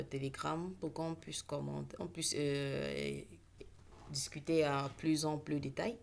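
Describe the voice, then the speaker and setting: A woman's voice: low-pitched, vocal fry. A woman who speaks English natively, teaching trading in a casual online lesson with many fillers and self-corrections.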